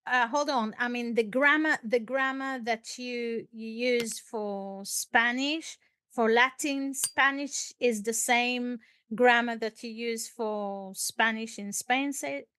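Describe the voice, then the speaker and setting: A woman hosting a casual, conversational language lesson as the teacher, expressive and often prompting and repeating words. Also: a little high, takes it slowly, clear, resonant tone, no echo. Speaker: English teacher and podcast host.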